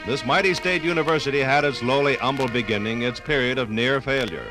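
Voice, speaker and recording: An American man narrates a 1950s radio documentary in a formal, theatrical style with measured phrasing. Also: medium pitch, music in the background. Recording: vintage 1950s radio recording.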